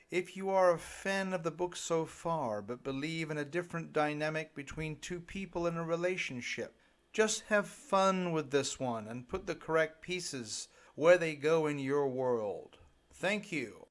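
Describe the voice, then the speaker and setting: A man's voice: on the high side, unhurried. A middle-aged man narrating his own self-help audiobook with light tongue-in-cheek humour, speaking straight to his listeners. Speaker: author reading own audiobook.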